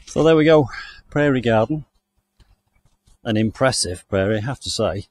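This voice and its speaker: A man's voice: mid-range voice, animated, moderate pace, a little mellow. A man from the UK giving a chatty, enthusiastic walking tour of a country garden outdoors, recorded on the camera he carries. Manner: chatty, enthusiastic, thinking aloud. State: hot and overdressed while walking. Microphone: handheld camera mic, walking.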